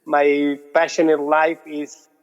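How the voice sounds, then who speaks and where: A man in his thirties from Argentina with an Argentine Spanish accent, chatting casually as an interview guest, relaxed and repeating his words. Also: fairly high pitch, slow pace, clean tone. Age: 30s. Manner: relaxed, repeats words, non-native phrasing. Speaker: guest, muralist. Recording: dull audio with long reverb.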